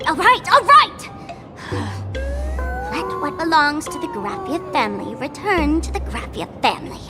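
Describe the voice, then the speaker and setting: High-voiced, lilting young female trickster. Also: very animated, measured pace, music in the background. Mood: playful, smug. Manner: teasing, theatrical, speaks of herself in third person. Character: mischievous young female masked trickster streamer, smug and theatrical. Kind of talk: video game character acting.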